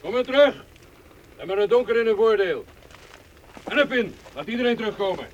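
An actor playing an arrogant, brutal medieval knight in a radio play, giving contemptuous orders. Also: male voice, sits high, lively intonation, fairly quick, dull audio. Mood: scornful. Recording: loud hiss on an old tape.

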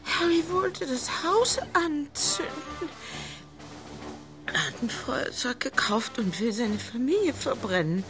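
A distraught wife in a radio drama, bitter and suspicious. Her delivery is slow and halting, yet swings widely in pitch as the agitation shows. Music sits behind her voice. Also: animated, exaggerated voice. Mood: tearful, alarmed. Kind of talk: German radio drama monologue.

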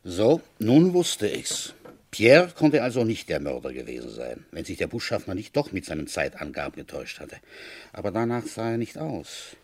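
Deep, husky, weary inspector narrating. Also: male voice, very animated, normal speed, a little mellow, full character voice. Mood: resigned, dry. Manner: dry, terse, brooding. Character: feverish, weary male police inspector recounting his case. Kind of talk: first-person radio drama narration.

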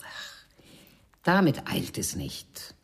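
Mature bourgeois madame for radio crime play: extremely low, husky, disdainful, sweeping dramatic intonation. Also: female voice, huge pitch swings, extremely slow, whispered, full character voice. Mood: bitter, composed. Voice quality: husky, breathy. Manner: haughty, theatrical, swooping between indignation and hushed tones. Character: haughty mature Parisian bourgeois madame, disdainful, betrayed wife.

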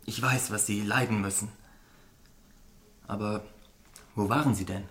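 An actor playing an earnest, anxious gentleman and concerned friend in a German radio drama, grave and solicitous in manner. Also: lowish voice, fairly even intonation, a little slow.

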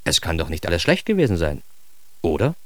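A man's voice: low voice, wildly swooping pitch, brisk, very noisy. A man playing a neurotic, self-mocking narrator of his own childhood in a radio drama, in standard German with a wry, theatrical manner. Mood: bemused.

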